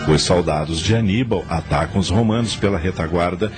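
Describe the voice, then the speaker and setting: A man's voice: sits low, a little fast, over music. A man narrating a radio drama from a script, telling the story in a dramatic style.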